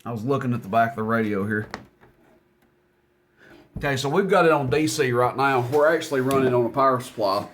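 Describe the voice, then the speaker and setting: A man's voice: medium pitch, fairly quick, pauses often, fairly bright tone, a little hiss or hum. A man giving a casual hands-on equipment demonstration in a plainspoken, folksy, matter-of-fact way. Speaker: CB radio seller demonstrating equipment.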